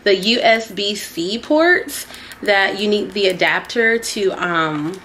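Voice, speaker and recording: Excitable young woman unboxing a laptop. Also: medium pitch, takes it slowly, slight background noise. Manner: chatty and excitable, addresses viewers as y'all. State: sniffly, getting over a cold. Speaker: vlogger. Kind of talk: casual unboxing vlog. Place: small carpeted living room indoors.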